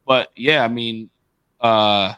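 A man's voice: medium pitch, fairly even intonation, takes it slowly, pushed and bright, clear, resonant tone, no echo, highs cut off. A man co-hosting a podcast, talking loosely and profanely, rambling and restarting mid-sentence. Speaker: podcast co-host. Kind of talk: casual chat.

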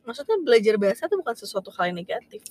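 A woman's voice: on the high side, sing-song, racing, a little mellow. A young woman co-hosting a podcast, explaining points to listeners and mixing in English phrases. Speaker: podcast co-host. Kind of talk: casual chat.

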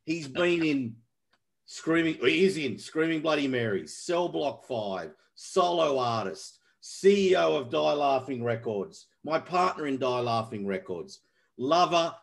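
Excitable joking man hosting a podcast. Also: on the high side, takes it slowly, creaky. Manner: jokey, excitable, laughs a lot. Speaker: podcast host. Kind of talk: casual interview.

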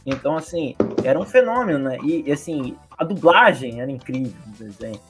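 Lively Brazilian man chatting casually. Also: medium pitch, brisk, music in the background. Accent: Brazilian Portuguese. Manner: animated, hums and imitates theme songs. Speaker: podcast co-host. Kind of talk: casual chat about cartoons.

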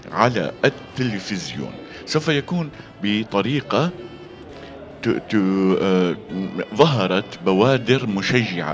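A man teaching a voice-over lesson, halting with fillers and restarts between read-aloud demonstrations. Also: on the low side. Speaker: voice acting coach. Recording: dull lo-fi sound with faint music.

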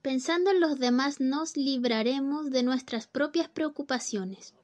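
A woman's voice: high voice, unhurried. A woman in a student radio play acting a distracted, teasing housewife, with an exaggerated, sing-song delivery. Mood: chatty. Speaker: radio drama actor.

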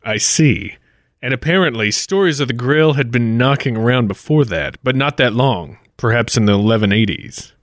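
A man's voice: medium pitch, expressive, normal speed, dry recording, clean studio sound, natural voice. A man playing an earnest, cautious time-travelling investigator with folksy politeness in a 1940s-style radio play. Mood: dry, cautious.

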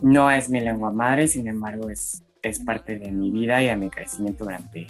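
A young adult singer from Mexico City with a Mexican accent, answering questions in a relaxed, hesitant way with frequent fillers. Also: medium pitch, soft background music. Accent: Mexican Spanish. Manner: slow and relaxed, laughs often, hesitant fillers. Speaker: singer being interviewed.

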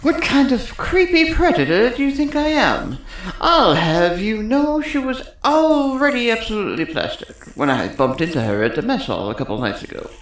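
A woman's voice: fairly high pitch, very animated, normal speed. A woman playing a cocky, sarcastic male electrical technician in a sci-fi comedy audio drama, smug and wordy. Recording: roomy echo.

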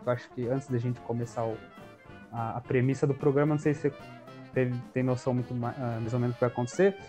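A man's voice: mid-range voice, fairly even intonation, quick pace, warm tone, soft background music. A man hosting a casual podcast interview, talking in a loose, hesitant way with many restarts. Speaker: podcast host.

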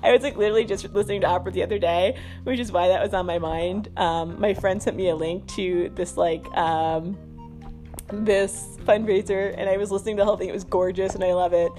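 A woman's voice: medium pitch, steady tone, a little fast, warm tone, faint music behind. A woman hosting a podcast in a casual stream-of-consciousness monologue, rambling and self-deprecating. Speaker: podcast host.

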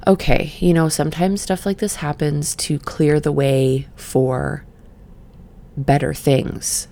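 A middle-aged woman who just woke up, leading a gentle breathing exercise and then reflecting in a soft, meandering way. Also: deep voice, steady tone, creaky. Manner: soft, slow and meandering, with sighs and fillers. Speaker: podcast host and session coach. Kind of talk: guided breathing then casual reflective talk.

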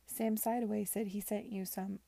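A woman's voice: mid-range voice, flat delivery, normal speed, gravelly, warm tone, dry recording. A woman voicing an earnest, concerned young woman in a period story, direct and urgent in her questioning. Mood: puzzled.

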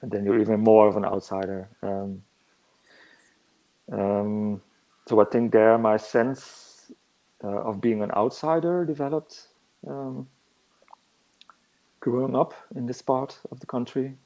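A man talks slowly in a low, gravelly voice. His tone is dark and mellow. He answers in a halting, reflective manner, often pausing to find his words. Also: level tone, rough recording. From northern Netherlands.

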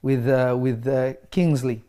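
A man's voice: mid-range voice, steady tone, measured pace, mellow. Emphatic man preaching in conversation. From Kenya. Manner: emphatic, repeats key phrases.

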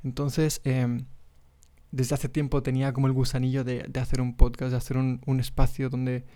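Mellow young man, relaxed podcast talk. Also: mid-range voice, moderate pace. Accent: Spain Spanish. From Mexico (Monterrey). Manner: relaxed, hesitant, with many fillers and restarts. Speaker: podcast host. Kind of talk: casual solo podcast monologue. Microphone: podcast microphone.

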